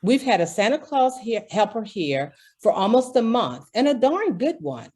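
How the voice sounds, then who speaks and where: A woman's voice: on the high side, sing-song, moderate pace, darkish tone. A woman playing a harried, earnest department store executive in an old-time radio drama, exasperated and emphatic. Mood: exasperated, pleading.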